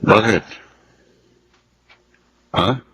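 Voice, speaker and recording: A man's voice: very slow, sharp tone. An actor playing a seasoned, understanding Filipino army officer in a radio drama, fatherly and reflective. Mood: concerned.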